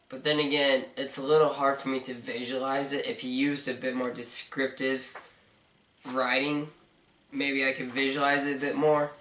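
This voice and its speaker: Hoarse young man rambling from across a room. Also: medium pitch, fairly bright tone. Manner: rambling, hesitant, many fillers. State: hoarse, stuffy. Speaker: book reviewer. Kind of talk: casual video review. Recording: roomy, mic at a distance, faint noise.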